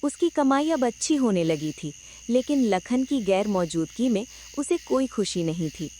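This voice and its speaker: A woman's voice: on the high side, very animated, dark tone, a little hiss or hum. Woman narrating a cartoon story. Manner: measured, storytelling.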